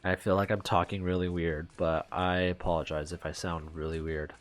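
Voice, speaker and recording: A man's voice: low voice, little pitch movement, normal speed, no echo. A man with a nasal, stuffed-up voice who reads a game's story aloud and chats in apologetic asides. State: nasal from a clogged nose. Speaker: game streamer reading all roles. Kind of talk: reading a visual novel aloud with asides.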